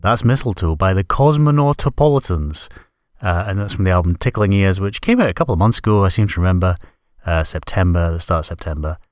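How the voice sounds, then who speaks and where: A man's voice: deep voice, expressive, brisk, no room sound. A man hosting a music podcast, congested from a cold, rambling casually and correcting himself as he goes. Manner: rambling, self-correcting, apologetic. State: stuffy nose from a cold. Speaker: podcast host.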